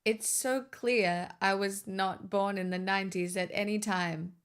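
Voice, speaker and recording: A woman's voice: mid-range voice, steady tone, a little slow, clear, resonant tone. A woman in her twenties streaming live, chatting casually with joking, self-mocking banter. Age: 20s.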